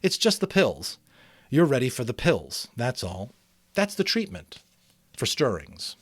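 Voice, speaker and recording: A man voicing a gentle, reassuring mother who explains patiently in a novel reading. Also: mid-range voice, wildly swooping pitch, measured pace, breathy edge, full character voice.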